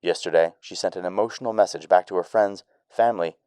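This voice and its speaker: A man's voice: low voice, fast, a little mellow, clear, resonant tone, no echo, lightly acted. A man playing a TV news reporter, reading a bulletin in a formal broadcast style.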